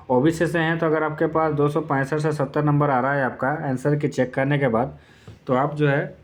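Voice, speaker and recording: Man explaining step by step, informally. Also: on the high side. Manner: repetitive, step-by-step explaining with filler phrases. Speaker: exam-prep YouTube presenter. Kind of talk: informal explainer addressed to exam candidates.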